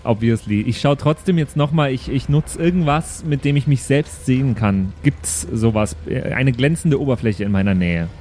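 A man's voice: mid-range voice, normal speed, few pauses, a little mellow, slight background noise. A man, chatting casually as a player in an improvised tabletop game.

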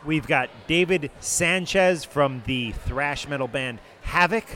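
A man's voice: on the high side, slow pace, bright, forceful tone, slight background noise. A man from the Buffalo area co-hosting a casual podcast chat in a hotel bar, upbeat as he introduces the guests. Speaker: podcast co-host.

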